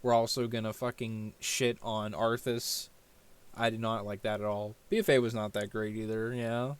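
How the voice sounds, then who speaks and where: A man's voice: fairly low pitch, little pitch movement, normal speed, clean tone. A man giving casual, ranting gameplay commentary as a streamer, exasperated and sweary. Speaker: game streamer. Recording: game music behind the voice.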